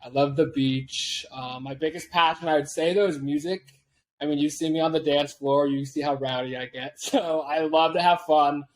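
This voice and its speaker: A man in his late twenties from Washington State, a guest talking over a video call, laid-back and quick to laugh. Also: a little high, steady tone. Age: 20s. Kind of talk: casual interview chat.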